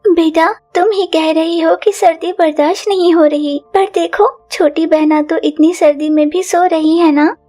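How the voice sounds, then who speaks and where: A woman's voice: very high voice, steady tone, unhurried, warm tone, soft background music. A woman voicing a poor, tired mother bird in a cartoon, explaining earnestly to her daughter. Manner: patient, earnest.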